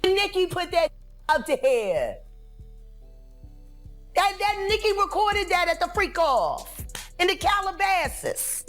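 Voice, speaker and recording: A woman with a very high, animated voice answers questions in casual chat. She is blunt and slangy and swears freely. Quiet music plays behind her. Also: slow pace, long pauses.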